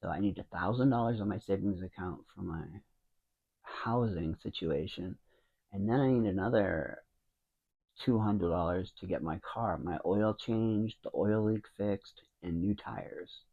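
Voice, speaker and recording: Quiet, mumbling man in his fifties. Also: low-pitched, unhurried, gravelly, phone-quality sound. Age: 50s. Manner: rambling, with many restarts. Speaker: vlogger. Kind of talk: casual talking to camera. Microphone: wireless mic into a phone.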